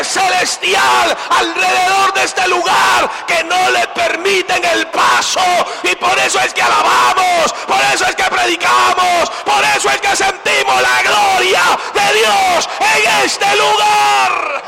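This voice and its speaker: A man preaching a fervent sermon at a church service, exhorting the congregation in repeated shouted phrases. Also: extremely high pitch, gravelly, noisy background.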